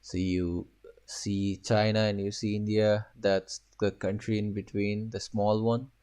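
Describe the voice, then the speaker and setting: A man's voice: on the low side, little pitch movement, unhurried, warm tone, smooth. A man from Nepal reacting casually to a video, exclaiming and asking curious questions.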